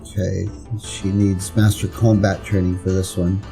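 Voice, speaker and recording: A man's voice: deep voice, level tone, unhurried, creaky, warm tone. A man giving casual gameplay commentary, rambling and thinking aloud as he plays. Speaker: gameplay streamer and reviewer. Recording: game music behind the voice. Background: video game music.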